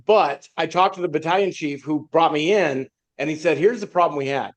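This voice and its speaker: A man's voice: a little high, a little fast. An American man in casual conversation as a panelist, telling anecdotes and acting out what people said. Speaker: podcast panelist, leadership instructor.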